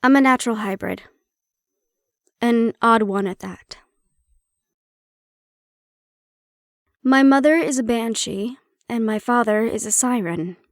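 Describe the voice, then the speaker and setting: A woman's voice: on the high side, moderate pace, dry recording, clean studio sound, lightly acted. A woman playing a prickly, guarded banshee-siren hybrid in an intimate audio roleplay, explaining herself conversationally with some teasing. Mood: guarded, explanatory.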